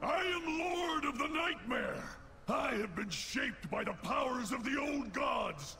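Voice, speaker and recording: A man playing a menacing fantasy game lord, declaiming theatrically in a gravelly voice. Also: very high voice, animated, slow pace, pushed and bright, shouting, faint music behind, exaggerated voice. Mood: gloating, commanding. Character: villainous demon lord of nightmares and a defiant bear spirit, menacing. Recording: noisy game audio with effects behind.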